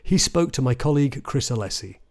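A man's voice: mid-range voice, lively intonation, brisk. A man presenting a podcast, reading scripted narration as the host.

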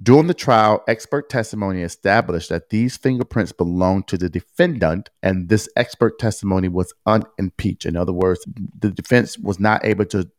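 Deep-voiced man explaining a court ruling. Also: dry recording, studio quality. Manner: explains a court ruling at length, reads passages aloud, quizzes his co-host; blunt, with casual swearing. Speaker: podcast co-host. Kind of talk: casual legal discussion.

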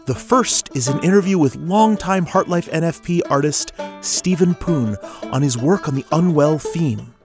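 A man's voice: a little high, lively intonation, normal speed. A man hosting a podcast, reading a scripted introduction. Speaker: podcast host, composer. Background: plucked guitar music.

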